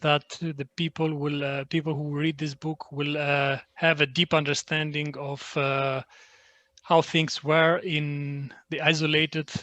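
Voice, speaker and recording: A man from Albania whose first language is Albanian, speaking as a book translator in an online talk, halting with many fillers and restarts. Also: fairly high pitch, sharp tone.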